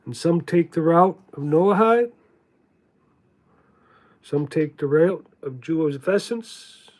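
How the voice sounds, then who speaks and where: A man giving a contemplative spiritual monologue in a halting, deliberate way, repeating words for emphasis. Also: a little high, expressive, a little mellow. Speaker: spiritual teacher reading from own writings.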